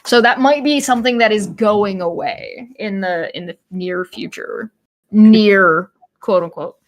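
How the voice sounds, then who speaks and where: A woman's voice: medium pitch, measured pace. A woman from Canada co-hosting a stream, chatting and explaining things casually. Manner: chatty, informative. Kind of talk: casual chat.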